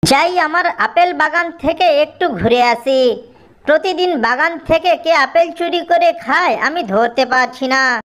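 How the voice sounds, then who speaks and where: A woman's voice: sits high, unhurried, fairly bright tone, pitched above the performer's own voice. A woman voicing a grumpy, possessive jackal in an animal cartoon, scolding and bossy. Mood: grumbling.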